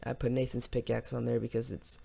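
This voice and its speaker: Guy doing a casual lets play, bit hoarse and croaky, rambles along, slight background noise. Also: medium pitch, monotone, brisk. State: slightly hoarse after losing voice from yelling. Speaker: host of a gaming video. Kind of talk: casual let's play commentary.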